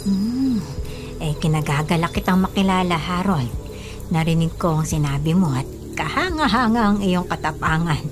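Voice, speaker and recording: Casting: actress as low-voiced, menacing old granny aswang, slow and ominous, radio drama over prominent music. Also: female voice, expressive, lightly acted. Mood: sly approval. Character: sinister old grandmother who is secretly a shapeshifting aswang. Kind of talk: Filipino radio drama acting. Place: small radio studio. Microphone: studio condenser microphone. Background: dramatic music bed.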